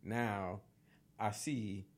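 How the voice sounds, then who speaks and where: A man's voice: fairly low pitch, steady tone, extremely slow, gravelly, slight background noise. A man hosting a casual chat, reflective and affirming, who draws out his phrases.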